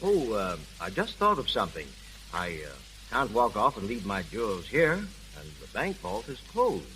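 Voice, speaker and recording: Bright-voiced, courteous rich father. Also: on the low side, wildly swooping pitch, normal speed, full character voice, poor audio. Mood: flustered. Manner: formal, courteous. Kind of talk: 1930s radio acting. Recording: hissy, humming 1930s radio broadcast.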